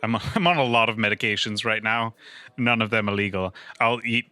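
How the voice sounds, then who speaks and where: A man with a fairly low voice and a bright, forceful edge. He improvises casually as a player in a roleplaying game. His talk is halting and peppered with swearing. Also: no echo.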